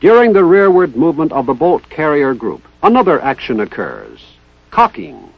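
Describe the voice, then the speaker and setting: A man giving formal, precise, scripted narration in the style of a 1960s military instructional film, with measured enunciation. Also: fairly high pitch, wildly swooping pitch, vocal fry, dry recording. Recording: dull 1960s training film soundtrack.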